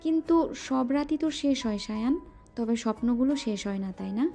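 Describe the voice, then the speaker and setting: A woman's voice: on the high side, animated, fairly quick, creaky, mellow, soft background music. A woman voicing a playful young woman in love, teasing and coy, in a romantic audio drama. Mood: tender. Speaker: voice actor.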